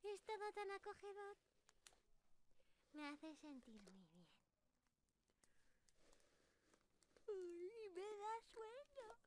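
A woman's voice: extremely high pitch, big pitch swings, slow pace, darkish tone, a little hiss or hum, heavily acted. A woman voicing a cute, cheeky young squirrel girl in an ASMR roleplay, playful and pleading as she talks straight to the listener. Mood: sleepy, coaxing. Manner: playful, genki, pleading and apologetic.

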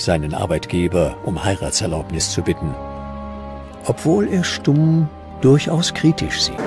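Low-pitched male documentary narrator over music.

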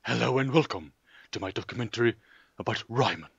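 Man playing a husky, deadpan documentary presenter. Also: medium pitch, a little fast, no echo. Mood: mock-serious. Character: mock-serious male documentary presenter, absent-minded. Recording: dull, lo-fi voice recording.

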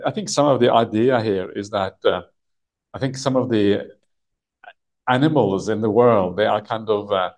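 A middle-aged man, a Buddhist monk, giving a relaxed dharma talk and answering questions, often chuckling and hesitating with many uhs. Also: lowish voice, vocal fry. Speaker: Buddhist monk teaching a sutta retreat. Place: meditation hall with shrine, indoors.

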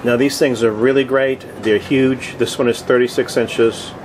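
A man giving a casual video tour in short, halting, plainspoken phrases, recorded outdoors on a boat deck with a handheld camera. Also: mid-range voice, fairly even intonation, music in the background, poor audio. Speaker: host of a cooking vlog. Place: open deck of a boat on the water. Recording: outdoor ambient noise.

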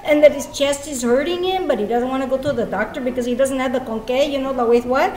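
A woman's voice: high voice, fast talker, darkish tone, a little hiss or hum, close to an everyday voice. A middle-aged woman voicing a gossipy, compassionate Mexican American street vendor from South Texas, mixing Spanish into English. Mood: scandalized gossip. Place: lectern in a large meeting room with seated audience. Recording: echoey meeting room.

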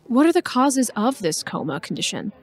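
An actress playing an earnest radio co-host and reporter in an audio drama. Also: female voice, fairly high pitch, sing-song, moderate pace. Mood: inquiring.

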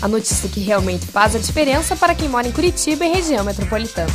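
A woman reads a brisk promotional script in a high voice, moving quickly. Music plays clearly under her. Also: expressive. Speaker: announcer. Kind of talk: scripted voice-over.

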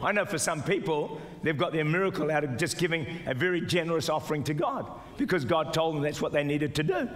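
Older pastor preaching in a reverberant hall. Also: male voice, lively intonation, quick pace, hardly any pauses. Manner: exhorting, rising to shouts, mimics doubters in a higher voice. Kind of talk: sermon. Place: large church auditorium with stage. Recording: live church hall reverb with music. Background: soft music and congregation responses.

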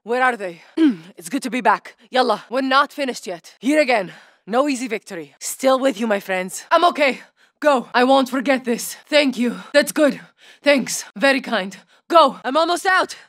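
A woman's voice: high-pitched, lively intonation, moderate pace, fairly bright tone, dry recording. A woman voicing a battle-hardened female soldier operator in a game, speaking in clipped military callouts with dry humor. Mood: steady. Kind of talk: game voice lines.

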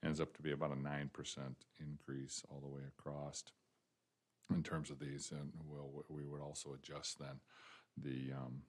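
A man's voice: rumbling low, completely flat delivery, normal speed. A man presenting proposed fee changes at a city board meeting, speaking plainly with frequent ums and restarts. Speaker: parks and recreation director presenting to a board. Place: city council meeting room.

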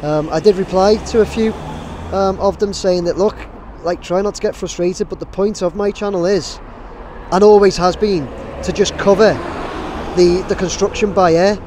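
A man's voice: sits high, fairly even intonation, moderate pace, darkish tone, a little hiss or hum. A man in his thirties vlogging to a handheld camera on a city street, talking casually with lots of fillers and restarts. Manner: rambling, many fillers and restarts. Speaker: drone videographer. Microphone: camera held at arm's length.

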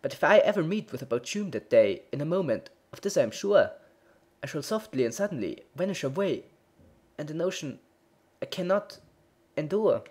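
A man's voice: fairly high pitch, big pitch swings, moderate pace, a little mellow, voice pushed up. A man voicing a mild, tearful baker. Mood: plaintive. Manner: plaintive and anxious.

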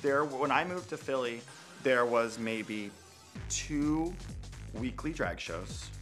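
A man's voice: mid-range voice, expressive, slow pace, a bit bright, faint music behind. A male drag performer speaking candidly in a documentary interview.

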